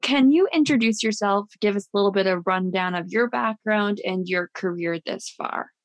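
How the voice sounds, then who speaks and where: A woman's voice: mid-range voice, moderate pace. A woman hosting a podcast interview, introducing her guest and asking questions in an upbeat, encouraging, conversational way. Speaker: podcast host.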